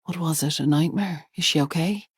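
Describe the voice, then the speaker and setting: A woman voicing a relaxed husband and father who talks in an easygoing, quietly amused way. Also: low voice, moderate pace, husky, no room sound, studio quality.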